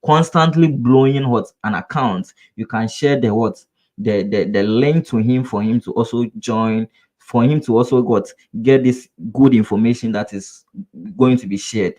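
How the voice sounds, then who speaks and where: A man's voice: mid-range voice, moderate pace, darkish tone. Earnest Ghanaian man teaching a webinar. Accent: Ghanaian English. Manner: repetitive, rhetorical "what?" questions; earnest, coaching address to viewers. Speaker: trading instructor. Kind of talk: live webinar lesson.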